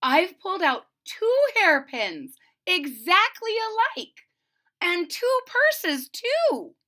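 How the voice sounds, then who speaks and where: A woman's voice: very high-pitched, very animated, slow pace, sharp tone, voice pushed up, exaggerated voice. A woman voicing a poor old storybook wife who is curious and exclaims in delighted wonder. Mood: amazed. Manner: exclaiming, wide-eyed wonder. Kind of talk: storybook read-aloud character voice.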